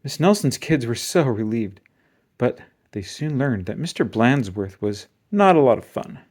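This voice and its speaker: A storybook reader giving an expressive children's read-aloud narration. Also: mid-range voice, unhurried.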